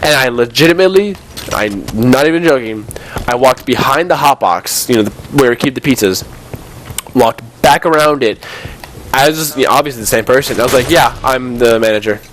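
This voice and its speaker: A man's voice: mid-range voice, lively intonation, moderate pace, fairly bright tone. Male, 19, medium voice, exasperated gamer recounting exchanges, slightly noisy home recording. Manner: exasperated, acting out remembered exchanges. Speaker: gaming video host. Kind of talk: casual storytelling while playing a video game.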